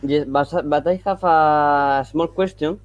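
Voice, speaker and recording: A Spanish-speaking man from Colombia with a Colombian accent, calling in over video and halting as he searches for English words. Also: a little high, steady tone, clean tone, dry recording, highs cut off. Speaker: caller. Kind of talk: call-in discussion. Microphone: video call.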